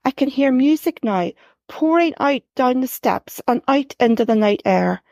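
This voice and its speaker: A woman reading her own first-person story aloud, expressive and rising sharply for the quoted shouts. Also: sits high, measured pace, gravelly, no echo, clean studio sound.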